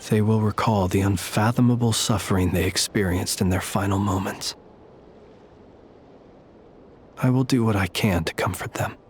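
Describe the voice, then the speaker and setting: A man voicing a courtly lantern-bearer who lays ghosts to rest, speaking in a formal, measured, poetic way. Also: fairly low pitch, a little hiss or hum, lightly acted. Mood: reflective. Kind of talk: video game voice acting.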